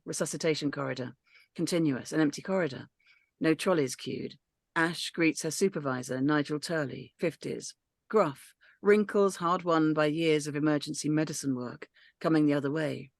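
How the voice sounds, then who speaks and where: Gravelly, dry-voiced woman narrator. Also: low-pitched, normal speed, no echo. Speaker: narrator reading stage directions. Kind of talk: script read-through narration.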